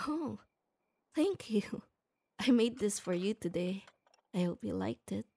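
Breathy young woman doing asmr roleplay, shy tomboy vibe, slow and halting, stammers a bit. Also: medium pitch, expressive, warm tone. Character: shy tomboy girl, gruff but kind. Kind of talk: ASMR roleplay, speaking to the listener.

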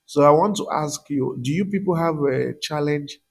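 Gravelly Nigerian man, chatty. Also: on the high side, moderate pace. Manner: chatty, many "um" fillers, addresses viewers directly. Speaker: betting tips channel host. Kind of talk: casual screen-share commentary.

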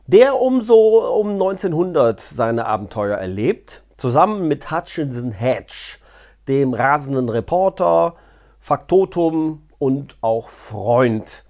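Lively middle-aged man, chatting casually. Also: medium pitch. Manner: colloquial, chatty, with wry asides. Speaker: vlogger and audio drama reviewer. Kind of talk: casual unscripted explainer to camera. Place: living room, seated on a sofa indoors. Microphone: clip-on lapel mic.

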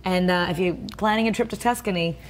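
A woman's voice: fairly low pitch, quick pace, rough recording. Bubbly woman TV host, faint hiss. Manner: bubbly, laughs often. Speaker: TV show host. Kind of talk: TV chat interview.

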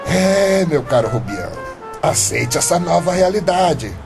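A man's voice: fairly high pitch, very animated, measured pace, gravelly, music in the background, full character voice. An actor with a Brazilian accent playing an earnest, stubborn, lovestruck eighty-year-old man in a radio drama. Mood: earnest, resolute.

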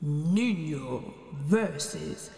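Casting: woman playing storybook fight announcer, dramatic and deliberate, lively swoops, echoing over loud music. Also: low voice, gravelly, pitched below the performer's own voice, exaggerated voice. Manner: deliberate, theatrical title calls. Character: dramatic match announcer naming wrestling opponents. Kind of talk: picture-book read-aloud. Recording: echoey hall, loud music.

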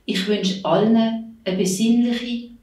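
Gentle secretary, far mic. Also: female voice, medium pitch, fairly even intonation, unhurried. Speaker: parish secretary. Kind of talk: Christmas greeting. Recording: echoey room, mic at a distance.

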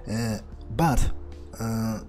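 Mellow, musing male gamer in character. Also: fairly low pitch, drawn-out words, soft background music. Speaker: gamer role-playing in character. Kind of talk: in-character game playthrough, thinking aloud.

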